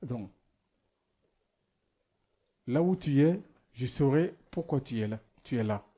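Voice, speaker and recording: A man's voice: mid-range voice, animated, takes it slowly, dark tone, slightly rough. A man who is not a native speaker preaches a sermon, asking rhetorical questions and often restarting as he searches for words. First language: not French.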